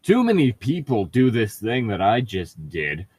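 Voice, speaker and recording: A man's voice: lowish voice, sing-song, unhurried, a little mellow, breathy edge, no echo. A man reading fan fiction aloud and breaking off into sarcastic, sweary commentary with an exasperated, mocking manner.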